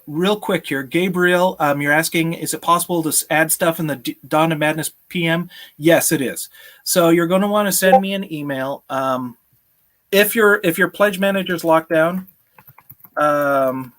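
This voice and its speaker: A man's voice: on the high side, a little slow, fairly bright tone. A man in his thirties hosting a casual live stream, chatting with viewers and often chuckling, on a video call from a room at home. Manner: chuckles often while talking. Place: small home room with sloped ceiling, indoors.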